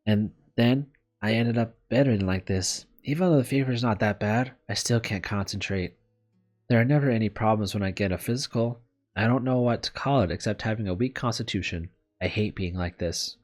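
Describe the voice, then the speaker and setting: A man's voice: on the low side, brisk, a little mellow, close to an everyday voice. A man voicing an anxious, self-doubting young woman teacher sick in bed in a visual novel, hesitant and self-pitying, with music behind. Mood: glum, apologetic. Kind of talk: visual novel read aloud. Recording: background music under the voice.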